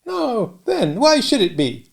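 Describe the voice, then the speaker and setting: A kindly old country dame, high and fluttering, full of exclamations and old dialect speech, as a male storyteller puts her on. The voice has a gravelly grain under it, and she fusses warmly over a sick child in a storybook reading. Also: huge pitch swings, takes it slowly, exaggerated voice. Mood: fussing. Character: kindly old village dame, fussing and motherly.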